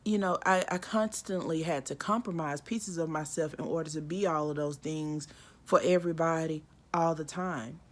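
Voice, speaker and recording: A woman in her early forties talks to camera in a loose, reflective way, full of "like" and "um." Her voice sits fairly low. When she acts out what someone thinks, she jumps sharply up in pitch. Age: 40s. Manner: frequent "like" and "um" fillers. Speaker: vlogger. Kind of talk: casual reflective monologue.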